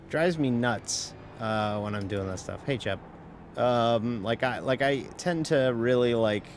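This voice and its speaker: A man's voice: mid-range voice, slight background noise. A man streaming a sim race, chatting casually in a rambling, self-mocking way, full of fillers and casual swearing. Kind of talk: casual live-stream chat while racing.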